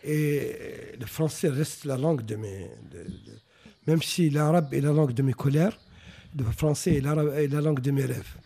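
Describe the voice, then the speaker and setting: Man, mauritanian accent, native arabic, novelist guest, gravelly, somewhat high, thoughtful, restarts. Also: moderate pace, warm tone. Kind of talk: reflective radio interview answers.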